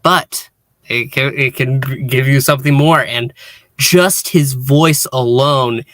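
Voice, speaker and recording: A man hosting a casual review discussion, speaking in a halting way with repeated false starts. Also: medium pitch, fairly bright tone, no room sound. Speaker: podcast host and reviewer.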